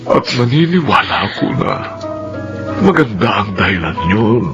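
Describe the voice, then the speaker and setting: A man playing a devout, consoling older father, earnest and gently admonishing, in a Filipino radio drama. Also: lowish voice, big pitch swings, moderate pace, muffled recording. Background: prominent background music.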